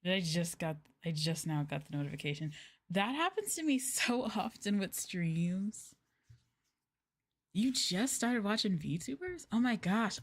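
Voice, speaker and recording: A woman streaming as a VTuber, chatting casually in a rambling, giggly way with lots of filler words. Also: medium pitch. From United States. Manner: giggly and playful.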